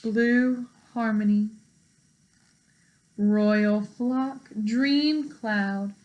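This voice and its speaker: A woman reading a picture book aloud to children in an expressive, teacherly way, pausing to explain words and put questions to young listeners. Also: a little high, muffled recording.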